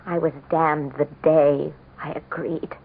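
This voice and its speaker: An actress playing a vain, desperate, scheming politician's wife in a melodramatic radio drama, with theatrical, anguished and imperious delivery. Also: female voice, low voice, level tone, takes it slowly, creaky, exaggerated voice. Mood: bitter, pleading. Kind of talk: melodramatic radio drama acting and first-person narration. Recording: muffled lo-fi vintage radio broadcast.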